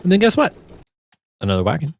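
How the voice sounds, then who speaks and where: A man's voice: fairly high pitch, wildly swooping pitch, fast talker, creaky. A man giving a casual, explanatory walkthrough as a sound designer presenting his work.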